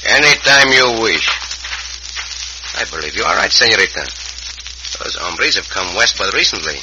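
An actor playing a gallant, confident Mexican cowboy hero with a Mexican accent and formal, courtly phrasing in an old radio western. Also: male voice, fairly low pitch, expressive, moderate pace, lightly acted. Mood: firm, defiant. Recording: hissy, crackly vintage radio recording.

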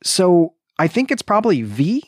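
A man co-hosting a podcast, talking casually about literature in a deliberate, analytical way. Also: high-pitched, very animated, no room sound, clean studio sound. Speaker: podcast co-host. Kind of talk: literary discussion, casual chat.

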